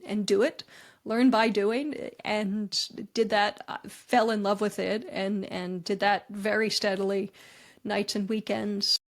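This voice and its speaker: A woman talks through her career as a guest on a podcast. She is chatty and self-deprecating, with quick wry asides. Her pitch sits in the middle range. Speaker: guest, comedy writer and speaker. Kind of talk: conversational interview answers.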